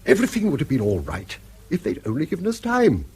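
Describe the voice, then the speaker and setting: A man's voice: on the high side, huge pitch swings, fast, a little mellow, a little hiss or hum. An actor playing a bankrupt, argumentative old grandfather in a British radio drama, blustering and sardonic as he needles his family. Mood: indignant, self-justifying. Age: older.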